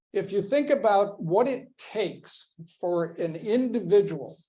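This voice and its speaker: A man giving a history lecture to a class, measured and emphatic, often stopping to put questions to his listeners. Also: on the high side, darkish tone.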